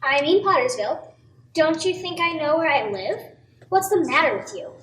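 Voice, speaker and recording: Lady doing an indignant local, really high voice, radio play, echoey. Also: animated, normal speed, fairly bright tone. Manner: indignant, snippy. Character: indignant, snippy townsperson, proud tree owner. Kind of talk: amateur audio-only radio play. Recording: echoey, distant mic, faint noise.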